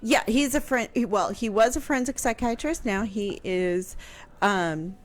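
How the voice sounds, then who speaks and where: A woman from Kansas explaining history on a radio talk show, speaking carefully and pausing often on fillers. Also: fairly high pitch. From Kansas, USA. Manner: slow, careful, halting with fillers.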